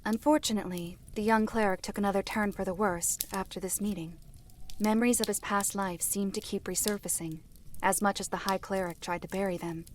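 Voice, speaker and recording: A woman's voice: medium pitch, steady tone, slight background noise, close to an everyday voice. Woman acting a composed, formal seer. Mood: grave, composed. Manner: formal, measured, careful. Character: composed, formal female seer recounting events. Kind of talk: visual-novel audio drama storytelling.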